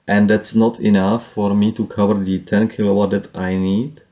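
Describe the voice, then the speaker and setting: A man with a Czech accent talks through his own solar data, pausing and restarting with plenty of fillers. His voice sits low and sounds soft and mellow. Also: flat delivery. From Czech Republic. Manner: halting, with frequent fillers and restarts. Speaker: solar power hobbyist. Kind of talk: casual screen walkthrough.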